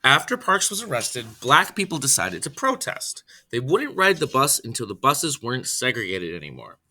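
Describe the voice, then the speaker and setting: A man reading a children's biography aloud from a script, phrase by phrase, in a deliberate way. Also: mid-range voice, huge pitch swings, bright, forceful tone. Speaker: reader, storyteller.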